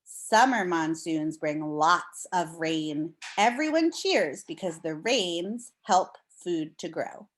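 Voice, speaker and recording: An American woman reading a non-fiction book aloud to young children as a teacher, explaining it in a deliberate way and addressing her listeners directly. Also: on the low side, lively intonation.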